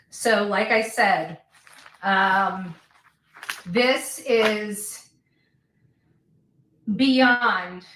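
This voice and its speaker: A woman giving an unscripted monologue in halting, deliberate, broken phrases, recorded in an echoey room away from the microphone. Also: mid-range voice, fairly bright tone, slight background noise. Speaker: online livestreamer. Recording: echoey room, distant mic.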